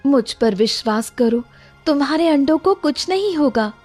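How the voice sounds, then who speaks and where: A gentle cartoon queen, played by a woman, with a high, earnest voice. Her manner is pleading and apologetic, as in a moral fairy tale. Faint music runs underneath. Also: lively intonation, normal speed. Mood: gentle, reassuring.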